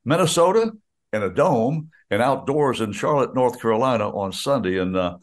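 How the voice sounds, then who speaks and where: A man's voice: medium pitch, animated, moderate pace. An older American man, a radio play-by-play announcer, chatting about football in a rambling way, full of restarts and asides. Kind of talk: casual sports radio chat.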